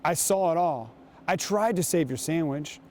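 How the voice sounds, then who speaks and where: A man reading aloud and talking to children as a guest reader, with an unhurried, storytelling manner. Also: on the high side, animated, a little mellow, a little hiss or hum. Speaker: guest reader, basketball coach.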